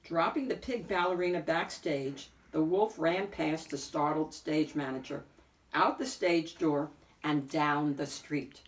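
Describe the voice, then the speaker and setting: A woman reading a picture book aloud as an expressive narrator, phrasing deliberately with emphatic swells. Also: low-pitched, distant mic. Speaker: picture book reader and host.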